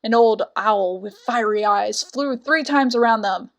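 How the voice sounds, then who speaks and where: Female storyteller, fairly high-pitched, for scripted fairy tale narration with deliberate, dramatic delivery.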